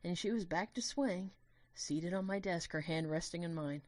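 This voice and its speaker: Woman as a low-voiced, lovestruck student narrator. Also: moderate pace, darkish tone, no room sound, lightly acted. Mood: fond. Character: lovestruck, guilt-ridden young human student narrator. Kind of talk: dramatic first-person audio drama narration.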